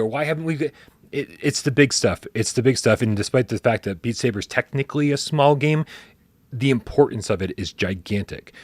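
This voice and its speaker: A man hosting a casual gaming livestream, talking in animated bursts, swearing casually and restarting his sentences. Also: mid-range voice, no room sound. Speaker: podcast host.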